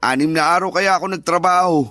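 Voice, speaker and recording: A man's voice: high voice, fast talker, pushed and bright. An actor playing a selfish, whining and defensive young man cheating on his partner in a radio drama. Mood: whiny, demanding. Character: lazy, selfish young cheating husband, whiny and shameless. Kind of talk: Filipino radio drama acting.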